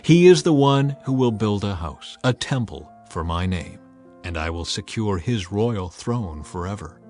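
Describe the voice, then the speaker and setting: A man voicing a solemn, authoritative God who delivers promises in a measured, declamatory way, as in a dramatized scripture reading. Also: lowish voice, lively intonation, a little mellow, faint music behind, pitched below the performer's own voice.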